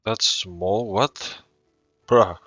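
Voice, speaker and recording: A low-pitched voice with a bright, forceful edge gives casual gaming commentary. The recording is dry and a little dull, as if close on a modest microphone. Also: extremely slow, no echo. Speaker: gamer reacting to a story quest.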